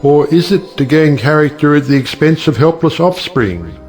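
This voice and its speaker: An actor playing a witty, cynical middle-aged uncle and judge who lectures rhetorically in an audiobook stage play. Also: male voice, a little high, animated, normal speed, darkish tone, soft background music, close to an everyday voice. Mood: earnest, argumentative. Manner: rhetorical, sardonic, declamatory.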